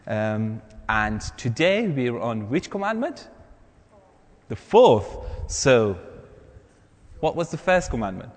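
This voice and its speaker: A man's voice: mid-range voice, big pitch swings, slow pace, pauses often. A man preaching as a pastor in a conversational, informal style to a congregation. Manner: informal, quizzing the listeners. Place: large reverberant church hall. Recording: long hall reverb.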